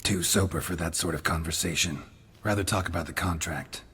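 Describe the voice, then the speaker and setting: Stoic monster hunter, low voice, terse, wry deadpan, video game dialogue. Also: male voice, fairly quick, husky, full character voice. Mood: dry, unhurried. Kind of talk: video game cutscene acting.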